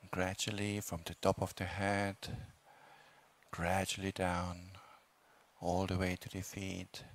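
A man leading a guided meditation as a teacher, speaking calmly in short phrases with long, unhurried pauses. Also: deep voice. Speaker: meditation teacher, Buddhist monk.

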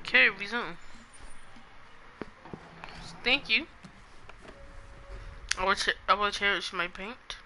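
A man's voice: sits high, takes it slowly, pauses often, pushed and bright, slight background noise, poor audio. A young man giving casual, joking commentary while live-streaming a game, often repeating phrases in a sing-song way. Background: game music.

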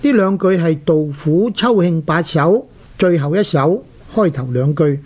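A man's voice: fairly high pitch, lively intonation, a little slow, darkish tone. Dull lo-fi radio, scholarly Hong Kong man. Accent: Hong Kong Cantonese. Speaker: radio presenter explaining Chinese words. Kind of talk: scholarly scripted lecture with recited verse.

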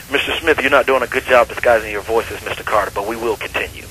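A man's voice: medium pitch, fast talker, sharp tone. A man answering a church phone, firm and questioning, on a slightly noisy call recording. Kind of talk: phone conversation.

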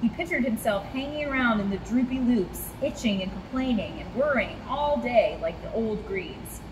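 A young woman reads a picture book to children in an expressive, fairly high voice. She is recorded a short way from the camera, with clear ambient noise around her. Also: moderate pace, big-room sound. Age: young adult. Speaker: zoo staff storyteller. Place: in front of a rock wall at a zoo.

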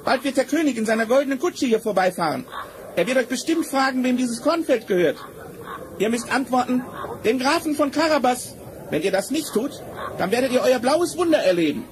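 Casting: cunning fairy-tale tomcat, extremely high and swooping, bossy, wheedling; noisy old vinyl. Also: male voice, expressive, fairly quick. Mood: commanding. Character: sly, bossy talking tomcat in boots. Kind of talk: 1970s German fairy-tale radio play acting. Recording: noisy vinyl record transfer.

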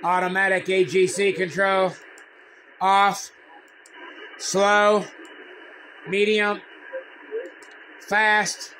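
A man's voice: sits high, flat delivery, very slow, long pauses, pushed and bright, slight background noise, poor audio. A synthetic male voice announcing radio menu settings in short clipped readouts.

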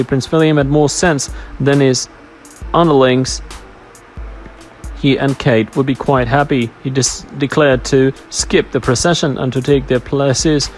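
A man reading a scripted royal news narration, stumbling now and then as he reads. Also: mid-range voice. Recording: music behind the voice. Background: background music.

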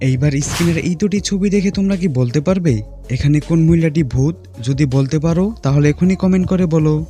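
A man's voice: fairly high pitch, quick pace, dark tone, faint music behind. A man narrating a scripted puzzle quiz as host, speaking directly to viewers.

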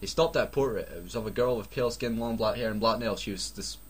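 A man's voice: fairly low pitch, fast, husky. A Scottish man doing a mocking comic read-aloud with sarcastic, sweary asides. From Scotland. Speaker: co-host reading fan fiction aloud. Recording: noisy home recording.

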